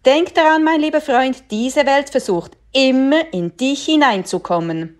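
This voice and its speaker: Woman interpreter for a preacher, fairly high voice, measured and steady, echoes each phrase right after him. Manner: measured, follows the preacher line by line. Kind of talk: consecutive sermon interpretation.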